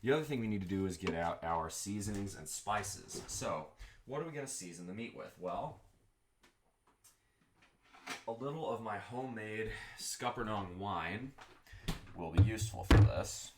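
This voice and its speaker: A rambling Southern man with a low, deep voice talks casually while cooking at home, drifting nearer and farther from the mic as he moves. Faint background noise and a few clicks sit under his speech. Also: expressive, moderate pace. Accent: Southern American. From Texas. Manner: rambling, chatty, moves around the kitchen. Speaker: streamer cooking chili. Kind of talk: casual live-stream chat while cooking. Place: home kitchen.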